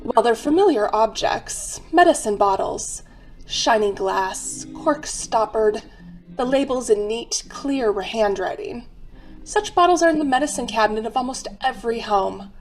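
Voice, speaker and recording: Woman as slow, solemn radio mystery narrator. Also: fairly high pitch, lively intonation, faint music behind, poor audio. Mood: grim, solemn. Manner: theatrical, deliberate. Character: solemn, macabre museum guide narrating murder stories. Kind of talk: dramatic old-time radio narration.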